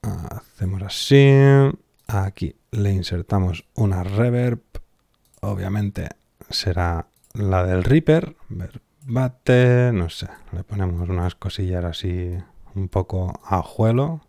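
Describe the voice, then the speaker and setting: A man giving an informal software tutorial, offhand and thinking aloud as he works, close on the mic. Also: deep voice, slow, vocal fry, no room sound. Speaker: online audio software instructor.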